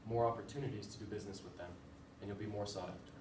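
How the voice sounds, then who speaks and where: A man's voice: on the low side, fairly even intonation, rapid-fire. Young man, class presentation, casual fillers, distant mic, noisy background. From United States. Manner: casual and self-deprecating, with fillers. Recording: echoey room, far from the mic, with background noise.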